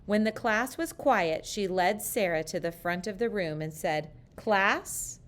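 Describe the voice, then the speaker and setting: A woman reading a children's picture book aloud, slow, careful and expressive. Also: lowish voice. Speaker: storyteller.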